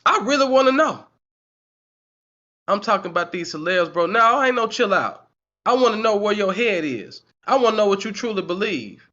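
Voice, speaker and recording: A man in his forties hosts a heated commentary stream, his voice sitting high for a man. He repeats himself and keeps asking the chat rhetorical questions. The sound is dull and lo-fi. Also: expressive, normal speed, bright, forceful tone. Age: 40s. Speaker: channel host. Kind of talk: livestream commentary, reading the chat.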